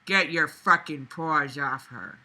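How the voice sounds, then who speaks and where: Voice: mid-range voice, slow pace, bright, forceful tone, full character voice. A woman voicing a smug, possessive young businessman as she reads a novel aloud. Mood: jealous.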